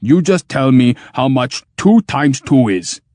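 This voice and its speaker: A man voicing a dim-witted, stubborn giant machine that booms slowly and flatly. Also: no room sound. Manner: booming slow and flat.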